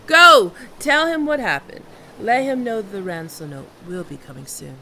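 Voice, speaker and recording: Woman acting a gravelly, swaggering pirate captain woman. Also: fairly high pitch, sing-song, pushed and bright, slight background noise, heavily acted, poor audio. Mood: commanding, taunting. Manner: theatrical, teasing, drawn-out menacing delivery with archaic turns of phrase. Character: swaggering female pirate captain, commanding, mocking, seductive. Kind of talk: ASMR roleplay acting.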